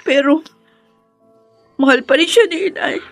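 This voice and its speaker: High-voiced wronged young radio heroine. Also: female voice, measured pace, vocal fry, faint music behind. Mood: anguished, tearful. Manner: emotional, pleading, melodramatic. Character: wronged, anguished young woman, tearful but defiant. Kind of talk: Filipino radio drama acting.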